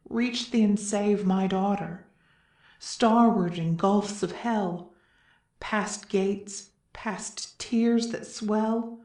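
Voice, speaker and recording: A solemn chorus member of Greek tragedy played by a woman declaims verse slowly and earnestly. An anxious weight hangs on the words, and the room echoes. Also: mid-range voice, warm tone. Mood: mournful, pleading. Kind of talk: classical verse drama reading.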